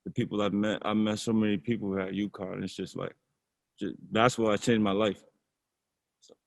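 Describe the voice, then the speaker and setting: Low-voiced young man, casual answers. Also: moderate pace, vocal fry, dry recording. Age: young adult. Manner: relaxed, repeats words while thinking. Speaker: college basketball player.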